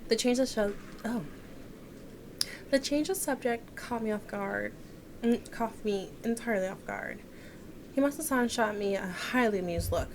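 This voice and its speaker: A woman in her thirties reading a visual novel aloud as a game streamer, expressive, with casual asides and stumbles she corrects. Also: on the high side, moderate pace, pauses often. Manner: stumbles over words and corrects herself. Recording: noticeable background noise, game ambience behind.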